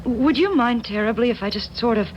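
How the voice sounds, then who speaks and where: Woman acting a fretful polite wife, fairly high voice, hesitant, apologetic, 1970s radio drama, faint noise. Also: a little fast, lightly acted. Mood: unwell, apologetic. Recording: dull, noisy 1970s radio broadcast.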